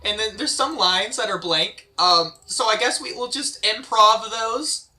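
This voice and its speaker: An excitable, expressive man casually directing a script read-through and explaining lines as reader. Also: high voice, a little slow, faint music behind.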